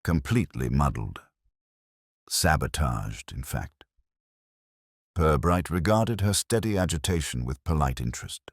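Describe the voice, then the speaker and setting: A man narrating a scripted mystery story in a measured, formal, literary manner. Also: a bass voice, animated, breathy edge, no room sound, studio quality. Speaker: audiobook narrator.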